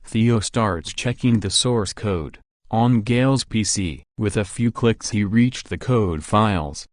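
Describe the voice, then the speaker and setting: Casting: male narrator for scripted visual novel story, lowish voice, very dry recording. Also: takes it slowly, dry recording.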